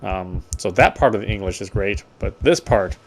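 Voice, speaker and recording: A man's voice: lowish voice, lively intonation, moderate pace, slight background noise. A man streaming a game, reading its text aloud and commenting casually as a player.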